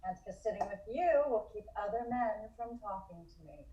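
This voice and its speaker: A woman playing a cool, sharp-tongued flapper, dry and aloof, in a table read. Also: medium pitch, lively intonation, normal speed, lightly acted. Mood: dry, guarded. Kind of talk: comic script table read. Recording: echoey, muffled call audio.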